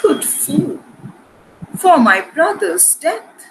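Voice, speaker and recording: A woman acting a proud, sharp-witted noble lady in a Shakespearean dramatic reading, with an arch, haughty manner. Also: very high voice, very animated, extremely slow, creaky, noisy background, heavily acted.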